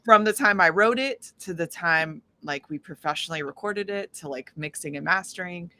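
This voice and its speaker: A woman speaking casually as an interview guest, an independent musician who tells stories in an animated way and acts out quoted dialogue. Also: on the low side, moderate pace, slight background noise. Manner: animated storytelling, often acting out quoted dialogue.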